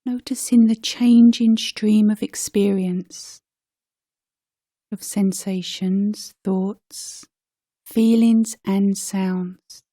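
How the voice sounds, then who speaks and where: A woman guides a meditation in a soft, mellow voice at a very slow pace. She speaks gently, leaving space between short phrases as a teacher would. The recording is dry, with no room sound around her words. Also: medium pitch, steady tone, no room sound.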